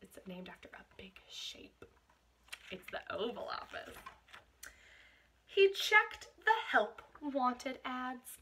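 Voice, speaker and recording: A woman reading a picture book aloud to children as a teacher, expressive, with explanatory asides and questions for young listeners. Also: high-pitched, takes it slowly, pauses often, a little hiss or hum.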